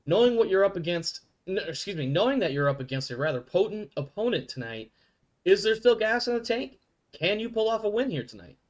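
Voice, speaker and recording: Lively, animated man hosting a mock sports show. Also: high voice. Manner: animated, self-correcting with quick asides. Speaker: show host.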